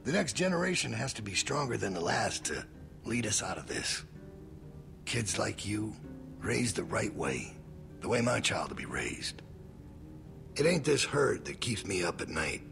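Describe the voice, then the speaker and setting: An actor playing a menacing middle-aged leader of survivors in a dramatic video game, with a gravelly, measured delivery. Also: male voice, fairly low pitch, big pitch swings, a bit bright, faint music behind, full character voice. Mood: calm, ominous.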